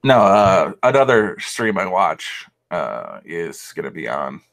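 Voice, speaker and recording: A man's voice: low-pitched, very animated, takes it slowly, vocal fry, a bit bright. A man co-hosting a livestream, casually chatting and teasing the others with firm opinions.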